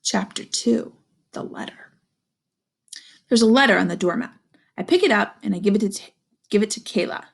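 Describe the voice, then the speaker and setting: A woman's voice: medium pitch, normal speed, gravelly, long pauses, husky. A woman reading a storybook aloud to young children, expressively, with asides to her listeners. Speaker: storybook reader.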